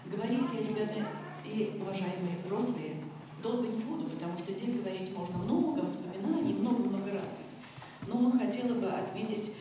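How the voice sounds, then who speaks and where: A woman's voice: mid-range voice, fairly quick. A woman from Chuvashia giving an informal, heartfelt commemorative speech to an audience, wandering between thoughts and addressing her listeners directly. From Chuvashia, Russia. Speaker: guest speaker at a school commemoration. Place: school hall stage, indoors. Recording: very echoey hall, distant mic, faint noise.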